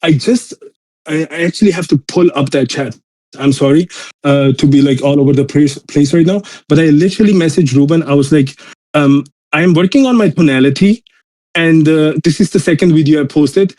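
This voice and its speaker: A man from Austria with a mixed European accent and German as his first language speaks in a slightly raised, mellow register. He rambles emphatically through casual motivational talk, dropping bro slang as he goes. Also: fairly high pitch, brisk. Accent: mixed European accent in English.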